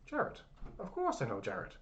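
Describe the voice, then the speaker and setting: A man voicing a crass, half-deaf old blacksmith who shouts in a gruff, rough brogue. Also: mid-range voice, wildly swooping pitch, unhurried, voice pushed up.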